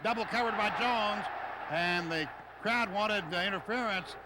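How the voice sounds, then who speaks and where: A man's voice: sits high, a little slow. An American man calling live college football on the radio in clipped, running phrases. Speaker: radio play-by-play announcer. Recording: noisy live stadium radio broadcast.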